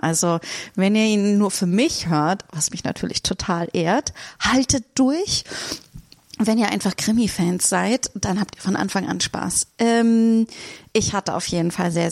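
Female podcast host, casual solo chat, playful and jokey, creaky fry, dry close sound. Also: medium pitch, darkish tone, dry recording. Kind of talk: casual chatty solo announcement.

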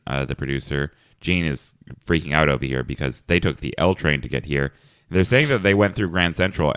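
A man hosting a comedy podcast, talking in a dry, halting way full of fillers. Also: rumbling low, a bit bright, clean tone, dry recording. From Kentucky. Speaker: podcast host. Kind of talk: deadpan comedic podcast chat.

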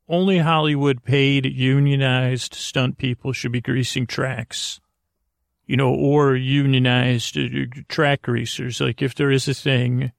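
A man with a gravelly, creaky voice hosts a sleep podcast. He rambles and stammers his way through long digressions, often restarting. The sound is close and dry, recorded in a closet. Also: mid-range voice, no echo. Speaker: podcast host.

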